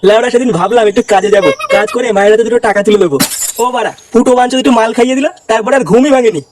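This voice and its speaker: A man's voice: extremely high pitch, very fast. A man voicing a grumbling, foul-mouthed cartoon layabout, a crude gossip who complains. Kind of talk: comedy cartoon dubbing.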